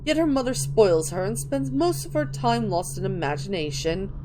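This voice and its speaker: A woman's voice: medium pitch, lively intonation, normal speed, background noise. A woman giving a theatrical dramatic reading of video game texts, stumbling over words and restarting now and then.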